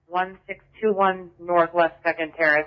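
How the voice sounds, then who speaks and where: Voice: medium pitch, steady tone, normal speed. A police dispatcher giving terse coded calls in a procedural, clipped way over a radio transmission. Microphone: radio dispatch mic. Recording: muffled lo-fi police scanner radio.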